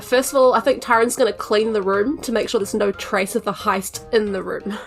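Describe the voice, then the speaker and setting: A woman's voice: mid-range voice, fairly quick, soft background music. A woman playing a tabletop role-playing game, chatting casually about her character's moves. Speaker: podcast player in tabletop game. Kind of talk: casual chat.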